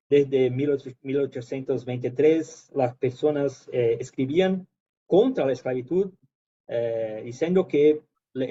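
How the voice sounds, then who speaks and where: Halting Brazilian-accented man lecturing. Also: mid-range voice, dark tone, highs cut off, a little hiss or hum. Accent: Brazilian Portuguese. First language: Portuguese. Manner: hesitant, many fillers and restarts. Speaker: guest lecturer. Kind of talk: academic presentation.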